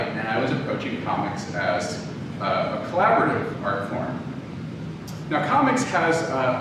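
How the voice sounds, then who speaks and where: A man giving an informal lecture to an audience, speaking in a hesitant, halting, low-key way. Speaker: guest lecturer, audio drama producer. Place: echoey room, live talk before an audience. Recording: echoey room, distant, clearly noisy background.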